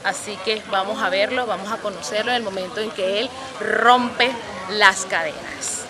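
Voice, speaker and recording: A woman's voice: mid-range voice, moderate pace. A woman from Palenque, hosting a travel vlog and speaking straight to her viewers. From San Basilio de Palenque, Colombia. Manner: lively, addresses viewers directly. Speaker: travel vlogger host. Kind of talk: casual travel vlog presenting. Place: outdoors in a village. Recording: outdoor recording with loud music and street noise.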